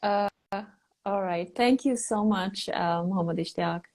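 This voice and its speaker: A woman's voice: medium pitch, a little slow, darkish tone. A woman, telling her story plainly and modestly as an interview guest. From Pakistan (South Punjab). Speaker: guest, researcher. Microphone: phone or video call mic.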